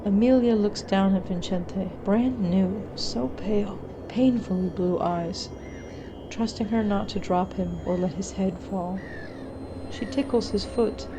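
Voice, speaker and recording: A woman reading a scripted horror story in a measured, dramatic storytelling manner. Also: medium pitch, background noise. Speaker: story narrator.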